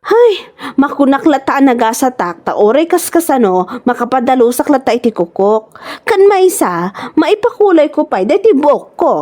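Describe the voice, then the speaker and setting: A woman's voice: sits high, normal speed. A Filipino woman with an Ilocano accent playing a vain, boastful, scornful village woman in a comedy radio drama. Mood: taunting. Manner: animated, scornful, exclaiming.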